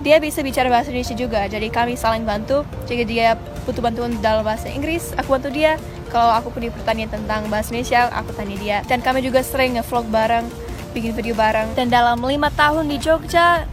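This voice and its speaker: A woman from the United States, an English speaker, chatting casually to camera as a vlogger with small fillers. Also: a little high. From United States (Texas). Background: background music.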